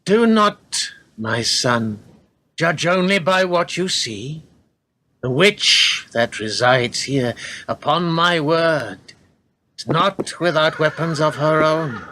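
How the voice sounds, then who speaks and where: A man's voice: a little high, bright, forceful tone, full character voice. An actor playing a haughty, greedy warrior king and father in a radio play, with pompous, archaic delivery. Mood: stern, commanding. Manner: pompous, declamatory archaic speech.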